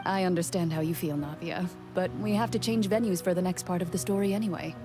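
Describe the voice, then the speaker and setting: A woman's voice: fairly low pitch, over music, close to an everyday voice. An actress voicing a composed woman narrating as game master in a video game. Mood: calm. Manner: measured, calm storyteller.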